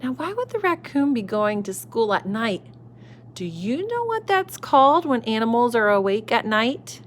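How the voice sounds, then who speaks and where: Woman storyteller reading to kids at home on a phone camera, expressive, with faint hum behind. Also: on the high side, normal speed. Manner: warm, expressive, asks children questions. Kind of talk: reading a picture book aloud.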